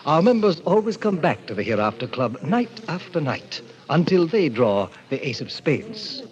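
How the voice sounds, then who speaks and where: An actor playing a suave, sinister middle-aged club president, theatrical and courtly, in 1940s radio drama style. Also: male voice, fairly high pitch, very animated, normal speed, darkish tone. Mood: smoothly sinister. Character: suave, sinister fifty-year-old president of a death club. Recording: muffled old-time radio recording.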